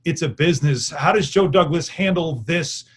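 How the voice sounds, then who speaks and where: Higher-voiced man hosting casual sports talk. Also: moderate pace, slightly rough. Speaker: sports radio host, panelist.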